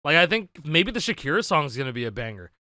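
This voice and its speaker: A man's voice: on the high side, very animated, a crowd in the background. A man in his twenties co-hosting a podcast, chatting casually and laughing easily. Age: 20s. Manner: laid-back, swears casually, quick laughs. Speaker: podcast co-host. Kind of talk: casual chat.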